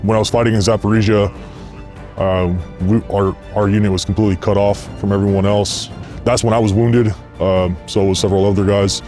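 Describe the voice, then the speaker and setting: A man's voice: lowish voice, flat delivery, a little fast, darkish tone, soft background music. A man, a former Marine, giving an earnest, plain-spoken interview testimony. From United States.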